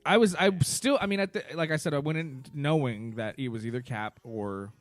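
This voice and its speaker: A man's voice: medium pitch, brisk, no room sound. A man co-hosting a podcast, chatting casually about movies and rambling through repeated, restarted phrases. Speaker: podcast co-host.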